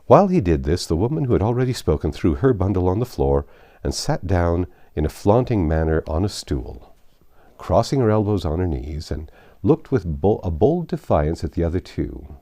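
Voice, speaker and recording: A man narrating classic literature as a storyteller, with measured, dramatic phrasing. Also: deep voice, very animated, warm tone, no echo.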